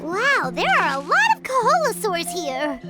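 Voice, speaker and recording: A very high, squeaky little fairy girl. She is eager and childlike, bouncing all over in pitch as a game sidekick, with music clearly behind her. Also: wildly swooping pitch, unhurried, full character voice. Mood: excited. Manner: eager, exclamatory. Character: tiny excitable floating fairy companion, childlike girl. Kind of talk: video game character acting.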